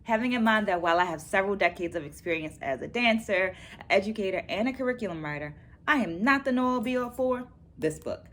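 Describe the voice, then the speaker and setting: A middle-aged woman presenting to viewers as a dance educator, in a measured, careful, teacherly way. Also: mid-range voice, expressive, a bit bright. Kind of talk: book-review vlog, presenting takeaways to viewers. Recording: clear background noise, room ambience.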